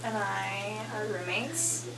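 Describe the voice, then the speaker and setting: A woman's voice: medium pitch, slow pace, gravelly, fairly bright tone. A woman in her twenties from Utah, chatting and teasing about her roommate with lots of fillers. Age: 20s. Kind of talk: casual chat to camera. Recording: echoey room, distant mic, faint hum.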